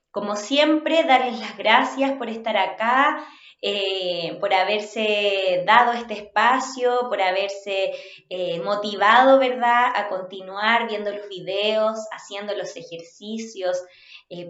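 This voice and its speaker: A woman with a Chilean accent giving an instructional talk as a workshop facilitator, in a measured, inviting way. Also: mid-range voice, a bit bright. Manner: measured, inviting, checks understanding. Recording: roomy, slightly dull home recording.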